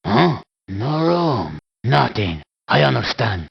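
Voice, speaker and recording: Male game mercenary: sparse, very slow one-word barks, husky voice, dull low-rate audio. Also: very animated, exaggerated voice. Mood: terse. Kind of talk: clipped video game voice barks.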